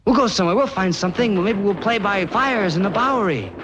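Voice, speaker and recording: A man's voice: sits high, animated, fast talker, fairly bright tone, slight background noise, pitched above the performer's own voice. A man voicing an eager friend in a story who urges his gang along, repeating himself. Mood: coaxing. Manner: urging, repetitive. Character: eager male pal rounding up his gang.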